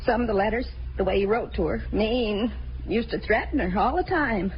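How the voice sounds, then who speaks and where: Actress playing chatty nosy woman, hesitant confider, vocal fry, muffled hissy vintage radio recording. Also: medium pitch, lively intonation, moderate pace, lightly acted. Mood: confiding. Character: chatty, nosy working woman confiding office gossip to police. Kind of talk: 1950s radio drama acting.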